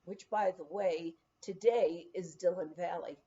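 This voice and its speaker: A woman reading an anecdotal memoir aloud as scripted narration, in an expressive storytelling manner. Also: fairly low pitch, a little slow. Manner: expressive storytelling, acting out the quoted exclamations. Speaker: audiobook reader. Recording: dull lo-fi recording.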